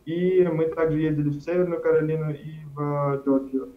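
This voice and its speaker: A man's voice: fairly high pitch, steady tone, fairly quick. A young man from Karachay-Cherkessia answering interview questions over a video call, rambling with frequent fillers and self-corrections. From Karachay-Cherkessia, Russia. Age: young adult. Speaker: studio guest. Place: indoors at home, plain wall. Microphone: video call webcam. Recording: dull lo-fi video call audio.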